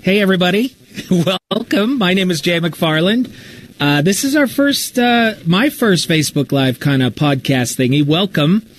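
A man's voice: high voice, very animated, normal speed. An American man chatting casually and informally as he hosts a live question session, with a self-deprecating manner. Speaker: podcast writer and talk show host. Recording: noisy live stream audio.

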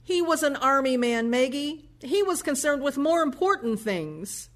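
A woman playing a devout, defensive elderly mother in an audio play, gentle and pleading. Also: sits high, expressive, unhurried.

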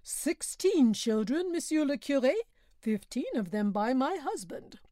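A woman voicing a proud peasant mother who speaks in an authoritative, strong, clear way. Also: high-pitched, big pitch swings, brisk, darkish tone, dry recording, clean studio sound.

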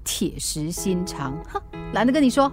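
一位女演员在广播剧里饰演嘴硬心软、爱顶嘴的年轻女快递员，带新加坡口音。. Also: female voice, medium pitch, sing-song, soft background music, natural voice. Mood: prickly, teasing. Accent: Singaporean. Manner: brusque, teasing, quick retorts. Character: spirited young woman courier, prickly but kind-hearted. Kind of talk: radio drama dialogue.

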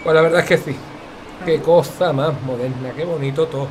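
A man's voice: fairly high pitch, steady tone, a little fast, close to an everyday voice. A man playing an affectionate, chatty old Andalusian grandmother in an audio drama, folksy and full of complaints. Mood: wistful, chatty. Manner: folksy, colloquial, self-pitying. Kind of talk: audio fiction acting. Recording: clear ambient noise behind voice.

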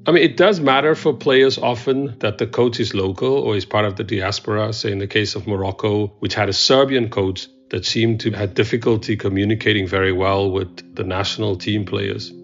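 A man's voice: fairly low pitch, steady tone, normal speed, few pauses, faint music behind. A man giving conversational, explanatory analysis as an expert in an interview. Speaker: expert commentator. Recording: dull, lo-fi interview audio.

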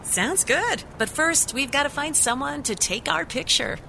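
An actress playing an earnest, caring news reporter in an audio drama. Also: female voice, high voice, lively intonation, unhurried, creaky, pushed and bright, slight background noise, full character voice. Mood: amazed, moved.

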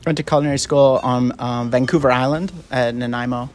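A man from Canada, a chef being interviewed on radio, speaking in a relaxed way with many hesitations. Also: medium pitch. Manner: relaxed, halting with many fillers.

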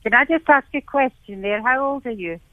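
A woman's voice: sits high, brisk, dry recording. Female psychic medium, motherly phone reader, tinny dry line. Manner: motherly, calls callers dear. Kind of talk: call-in reading over the phone.

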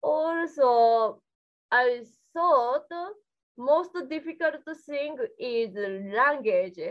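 High-voiced Japanese woman, halting English. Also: highs cut off. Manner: halting, simple English with frequent giggles. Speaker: interview guest. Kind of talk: casual interview talk.

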